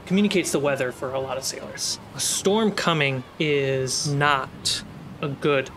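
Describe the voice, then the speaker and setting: Male game master, measured pace, narrating tabletop fantasy play over clear ambient sound. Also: medium pitch, background noise. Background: ambient sound effects.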